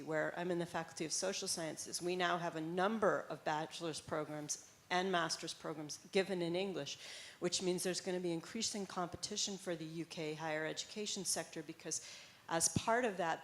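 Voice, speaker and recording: American woman, audience comment, somewhat low voice, eager, explanatory. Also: slight background noise. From United States. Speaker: audience member, academic.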